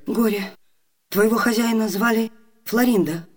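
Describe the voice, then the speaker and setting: An actress playing a passionate young woman disguised as a gentleman, commanding and theatrically emotional, in a radio play. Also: a little high, measured pace, breathy edge. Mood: anxious, probing. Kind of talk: Soviet radio theatre acting.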